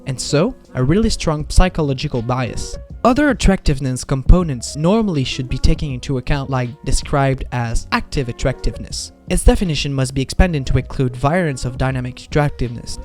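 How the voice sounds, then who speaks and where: A man's voice: mid-range voice, a little mellow. Man narrating a scripted video essay over music.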